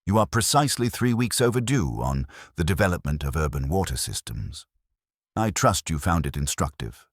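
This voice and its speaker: A man voicing a prim, exacting woman librarian with quiet authority, speaking formally and precisely in an audiobook mystery. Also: deep voice, big pitch swings, normal speed, slightly rough, dry recording, studio quality, exaggerated voice. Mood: hushed reproof.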